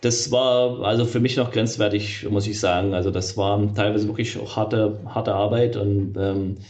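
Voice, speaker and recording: A man's voice: fairly low pitch, fairly even intonation, moderate pace, a little mellow. A middle-aged man, a game developer being interviewed, talking casually and hesitantly with many fillers and restarts.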